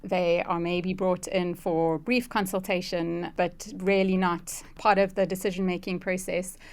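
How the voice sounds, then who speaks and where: A woman's voice: fairly low pitch, little pitch movement, measured pace, darkish tone, clear, resonant tone. A South African woman giving thoughtful, earnest answers as an interview guest. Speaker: interview guest, conservation ecologist.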